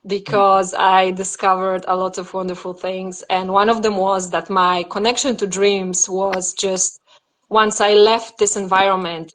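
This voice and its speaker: A young woman, not an English speaker, telling her personal story in a casual interview, reflective and full of fillers and restarts. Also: medium pitch. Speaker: dreamwork and yoga nidra teacher.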